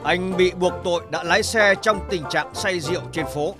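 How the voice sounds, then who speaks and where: A man's voice: high voice, normal speed, over music, natural voice. A man voicing a stern, pompous judge in a cartoon courtroom, speaking formally. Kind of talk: cartoon comedy acting.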